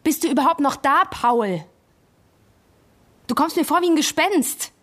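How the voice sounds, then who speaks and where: An actress playing a hurt, exasperated wife in a radio drama, pressing for answers in an accusing, emotional, insistent way. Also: female voice, high voice, lively intonation, a little slow, fairly bright tone. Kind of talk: naturalistic radio drama acting.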